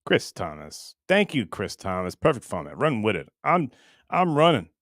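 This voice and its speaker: A middle-aged man hosting a livestream with casual, rambling chat, blunt and crude in his joking, going between muttering and loud bursts. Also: on the low side, big pitch swings, normal speed, dry recording, studio quality. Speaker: livestream show host.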